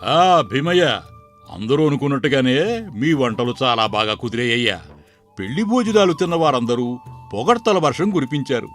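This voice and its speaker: A man's voice: medium pitch, big pitch swings, moderate pace, lightly acted. A man acts a well-off village father in a cartoon moral tale. He talks in a chatty, slightly patronising way, with faint music behind. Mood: pleased, expansive. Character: well-off village father hiring wedding cooks. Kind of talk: cartoon moral-story dialogue.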